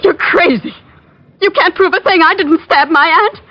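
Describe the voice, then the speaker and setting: An actress playing a scheming heiress who denies everything shrilly, in a 1950s radio drama. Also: female voice, normal speed. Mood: indignant. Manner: shrill, indignant denials. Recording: dull old radio recording.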